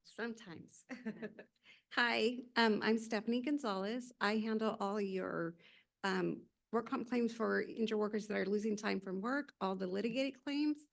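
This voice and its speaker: A woman with a gravelly edge in her voice explains her claims work in plain terms. She pauses often with ums. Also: mid-range voice. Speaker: workers' comp claims adjuster.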